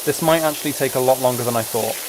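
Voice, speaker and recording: A soft-spoken man livestreaming, chatting casually to viewers while he plays a game. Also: mid-range voice, quick pace. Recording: clear background noise, spraying game sounds. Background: game ambience and water spray.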